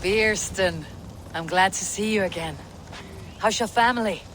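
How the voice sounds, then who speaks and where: An actor playing a bold, battle-hardened Viking warrior leader in a video game cutscene. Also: male voice, high voice, unhurried, background noise. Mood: warm, steady.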